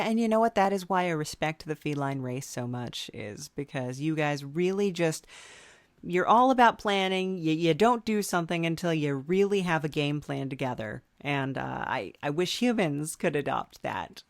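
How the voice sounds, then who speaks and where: Low-pitched woman hosting a casual podcast. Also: lively intonation, moderate pace, dry recording. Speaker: podcast host. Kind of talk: casual playful interview.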